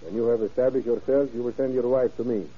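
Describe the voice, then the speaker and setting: An actor playing a cold, scheming, commanding Mexican general in old-time radio drama style. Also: male voice, mid-range voice, fairly even intonation, fast, husky, lightly acted. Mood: calculating, commanding. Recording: muffled old radio recording.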